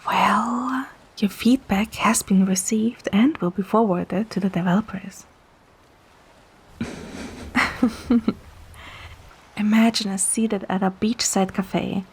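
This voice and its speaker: A woman playing a playful, caring young woman who narrates a romantic story in the first person, in a gentle, soft manner. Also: mid-range voice, fairly even intonation, slow pace, long pauses, slight background noise, close to an everyday voice. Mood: gentle, soothing. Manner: gentle, soft, sometimes whispering.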